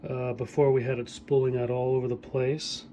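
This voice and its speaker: A middle-aged man giving a casual hands-on demonstration of equipment he repairs, speaking off the cuff with hesitant pauses as he works. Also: mid-range voice, flat delivery. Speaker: hobbyist audio equipment repairer demonstrating a tape deck.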